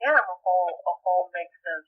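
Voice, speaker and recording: A woman from Puerto Rico, an actress guest, talking thoughtfully with many halting restarts in a podcast interview. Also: lowish voice, slightly rough. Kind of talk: podcast interview over the phone. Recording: muffled phone line, far from the mic.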